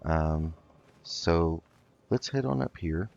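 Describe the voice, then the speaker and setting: A man's voice: low voice, expressive, takes it slowly, creaky, a little mellow, lo-fi sound. A man hosting a casual let's play, thinking aloud hesitantly as he goes. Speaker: gaming video host.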